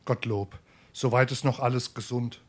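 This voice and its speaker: A polite, worried tradesman acted by a man speaks in a medium-pitched male voice. His Darmstadt Hessian dialect is that of a comic stage play, a put-upon creditor trying to stay civil. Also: fairly even intonation, normal speed, breathy edge, close to an everyday voice. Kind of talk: dialect stage comedy acting.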